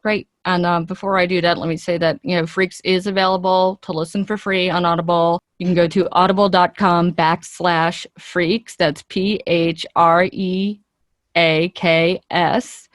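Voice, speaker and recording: Female interviewee, actress. Lower-leaning voice, very little room sound, earnest and chatty, works out answers as she talks. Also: little pitch movement, a little slow, no room sound, studio quality.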